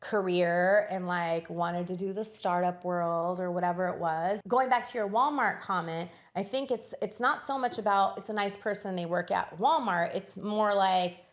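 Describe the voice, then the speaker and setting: A woman in a casual podcast chat, reflecting on her own experiences. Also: on the low side. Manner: reflective, measured storytelling.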